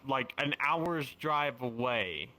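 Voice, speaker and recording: Bright-voiced man with a light Southern drawl. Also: medium pitch, expressive, slow pace, soft background music, rough recording. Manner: rambling, meandering explanations. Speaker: roleplay player. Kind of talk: casual chat.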